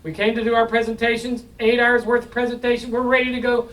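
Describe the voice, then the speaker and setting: A man's voice: extremely high pitch, monotone, fast talker, a bit bright, distant mic, poor audio. A man presenting live to an audience in a joking, self-mocking, chatty way.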